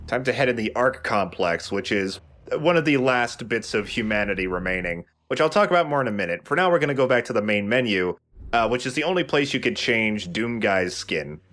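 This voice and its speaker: Low-voiced man commentating a game. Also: lively intonation, a little fast, a bit bright. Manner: informal, explanatory, joking. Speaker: let's play co-host. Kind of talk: casual gameplay commentary. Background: game music.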